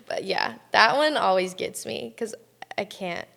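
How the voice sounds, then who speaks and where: A young woman from California, a college softball player chatting casually as an interview guest, telling lively stories with lots of fillers. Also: medium pitch, measured pace, gravelly. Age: young adult. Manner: chatty, animated storytelling with many fillers.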